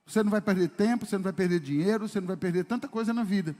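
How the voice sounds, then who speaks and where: A man's voice: high-pitched, rapid-fire, darkish tone. A man preaching a sermon as pastor, emphatic and full of rhetorical questions to the congregation. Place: church service hall. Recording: long reverb of a church hall.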